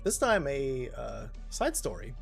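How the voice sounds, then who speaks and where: A man introduces himself as narrator and host in a casual, halting way. His mid-pitched voice moves around in lively swings, with faint music behind. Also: gravelly.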